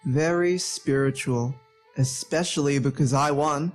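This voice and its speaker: A low voice from a woman as a cheeky young country man in a nostalgic radio play. The delivery is droll and matter-of-fact. Light music sits underneath. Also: a little slow.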